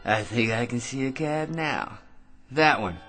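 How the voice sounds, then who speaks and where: An American actor in a radio drama playing a cocky, ordinary man who rambles smugly and stammers with excitement. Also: medium pitch, measured pace, lightly acted. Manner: rambling, smug, stammering excitedly, pestering with tag questions. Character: cocky, chattering, ordinary man full of crackpot schemes. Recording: radio drama with music underneath.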